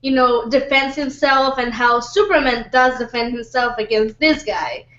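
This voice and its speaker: A woman giving her view in a casual group chat, halting and repeating phrases as she goes. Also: a little high, a bit bright. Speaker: discussion panelist. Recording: roomy, dull lo-fi audio.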